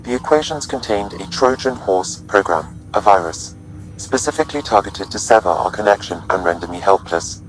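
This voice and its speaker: An actor playing a calm, measured artificial intelligence companion in a video game, speaking formally. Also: male voice, on the low side, faint music behind, close to an everyday voice, rough recording. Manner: measured, formal, even-tempered. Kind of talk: acted video game dialogue.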